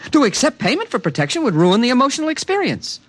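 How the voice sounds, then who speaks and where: A man's voice: very high-pitched, wildly swooping pitch, fast talker, fairly bright tone, dry recording, heavily acted. A man voicing an alien creature that protects a human, speaking in a precise, matter-of-fact, earnest way, in a 1950s radio drama. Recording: dry studio, background music.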